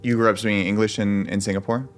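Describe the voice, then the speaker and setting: A man interviewing a job applicant on a casual call, friendly, with quick restarts and fillers. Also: on the low side, little pitch movement, soft background music. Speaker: interviewer posing as an employer. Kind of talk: casual video call conversation.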